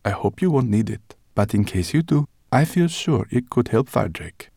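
A man voicing a gentle, reassuring woman. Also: medium pitch, expressive, normal speed, vocal fry, slightly rough, dry recording, pitched above the performer's own voice. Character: kind, gentle woman healer and host.

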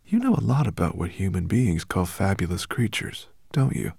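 A man voicing a curious, gentle male dragon who asks earnest, wondering questions. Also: low-pitched, very animated, moderate pace, gravelly, breathy edge, no room sound, studio quality, pitched above the performer's own voice, full character voice. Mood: grateful, curious. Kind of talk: audiobook character reading.

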